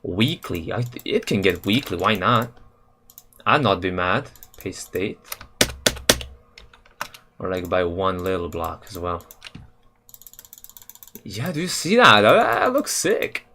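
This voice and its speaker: A young man from Serbia chatting casually on a live stream while he builds a game level, rambling in a relaxed, slangy way with lots of fillers and self-corrections. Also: low-pitched, slow pace, long pauses, a little hiss or hum. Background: keyboard and mouse clicks.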